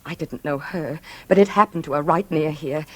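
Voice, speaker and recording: A woman's voice: low voice, moderate pace, breathy edge, dry recording, poor audio. An actress playing a lonely, secretive woman who is frightened yet coldly resentful, in a vintage radio thriller style. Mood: frightened, pleading. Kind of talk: 1940s radio thriller acting, confiding narration and dialogue. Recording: vintage radio drama with faint music.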